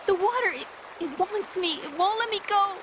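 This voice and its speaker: A woman's voice: very high voice, lively intonation, moderate pace. An actress playing a frightened, stubborn young woman in a video game, hesitant and pleading in her delivery. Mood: panicked. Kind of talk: dramatic video game voice acting. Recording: noisy game scene with water sounds.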